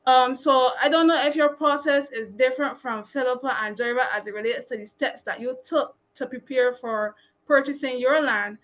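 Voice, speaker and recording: A woman from Barbados with a fairly high voice leads a panel, encouraging and recapping the main points. The sound is somewhat dull and lo-fi. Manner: encouraging, repeats key points. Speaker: panel moderator. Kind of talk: panel discussion.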